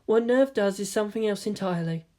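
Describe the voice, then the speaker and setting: A woman's voice: mid-range voice, fast talker, dark tone, close to an everyday voice. An emotionless teenage girl pilot, acted by a woman narrator. She speaks formally and precisely in a matter-of-fact tone, detached from what she says.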